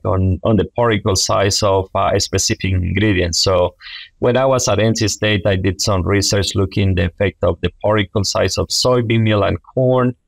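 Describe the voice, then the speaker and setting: A man's voice: lowish voice, vocal fry, dry recording. A middle-aged man from Honduras with a Honduran Spanish accent, explaining things as an interview guest on a video call. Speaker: guest, poultry science professor. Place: small indoor office, video call. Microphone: wireless earbuds on a video call.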